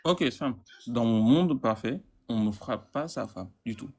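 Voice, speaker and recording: A man's voice: mid-range voice, animated, moderate pace, a little mellow. A man caller in a heated debate, stammering and repeating words as he insists on his point.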